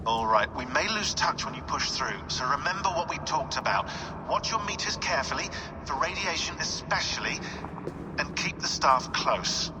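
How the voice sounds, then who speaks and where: An actor playing a wry, cautious scientist who supports a mission in a video game, explaining things with some hesitation. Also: male voice, on the high side, expressive, normal speed, over music, natural voice, low-quality recording. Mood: careful instructing. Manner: hesitant, explanatory, dry humour. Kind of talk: naturalistic video game acting.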